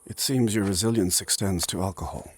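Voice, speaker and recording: A man playing an ancient, proud and solemn warrior in a dark fantasy audio drama, speaking formally and gravely. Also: fairly quick, a little hiss or hum, close to an everyday voice. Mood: wistful, grave. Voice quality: husky, very deep.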